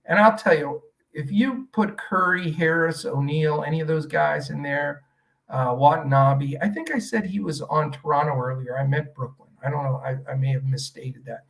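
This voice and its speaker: A man's voice: on the high side, moderate pace, gravelly, low-quality recording. A middle-aged man from Western Pennsylvania hosting a fantasy sports podcast, talking in a rambling, conversational way with lots of fillers and restarts. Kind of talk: casual podcast analysis.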